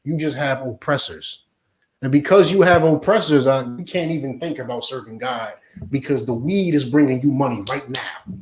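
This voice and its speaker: A man preaching a forceful lesson to a class, often asking if they understand. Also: on the high side. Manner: forceful, repeats "you understand?". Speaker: religious teacher. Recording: echoey room.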